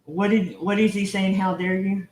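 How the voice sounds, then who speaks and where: A woman's voice: medium pitch, flat delivery, moderate pace, a little mellow, slight background noise. Texan woman, home cooking host, teasing, roomy kitchen sound. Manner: chatty, teasing, laughs often. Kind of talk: casual live cooking chat.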